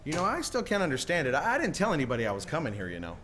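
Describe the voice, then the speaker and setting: A man's voice: a little high, huge pitch swings, rapid-fire, fairly bright tone, a little hiss or hum. An actor playing a restless, stubborn young small-town man, stammering and bursting out in a 1940s-style radio play. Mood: awkward, defensive. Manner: stammering, hesitant, then bursting out.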